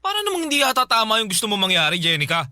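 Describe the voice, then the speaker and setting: A woman voicing a flustered, reluctant older brother in a radio drama, protesting in a halting way. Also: mid-range voice, very animated, bright, forceful tone, no echo, studio quality. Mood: hesitant protest. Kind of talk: Filipino radio drama acting.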